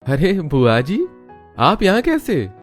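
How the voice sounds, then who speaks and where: Man as an eager son, voice pitched up. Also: wildly swooping pitch, slow, full character voice. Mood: surprised. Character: eager, soothing grown son of the family. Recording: music behind the voice.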